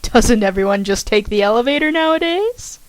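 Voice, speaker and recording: A woman reading aloud and voicing a shy young female college student who talks softly with nervous, wry humor. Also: a little high, expressive, normal speed, no echo, close to an everyday voice.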